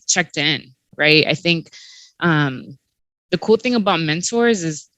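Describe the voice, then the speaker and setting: A woman answering reflectively as a panelist, often pausing on "um" and "right?". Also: sits low, no room sound. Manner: warm, reflective, many fillers.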